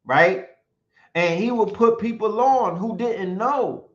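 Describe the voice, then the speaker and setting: A man's voice: high voice, slow pace. An emphatic man delivers a monologue, hammering his points with rhetorical questions and now and then reading from notes. His voice sits in an echoey room, and the recording sounds dull and lo-fi. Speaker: commentator.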